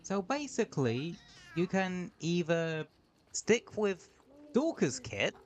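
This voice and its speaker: A man's voice: high-pitched, sing-song, slow pace. A man streaming a video game, chatting casually and trailing off into fillers as he plays. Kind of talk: casual gameplay commentary.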